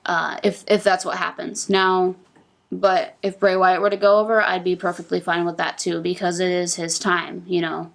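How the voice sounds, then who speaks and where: Female podcast panelist, slightly low voice with plenty of vocal fry, earnest casual talk. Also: little pitch movement, moderate pace. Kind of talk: casual wrestling prediction talk.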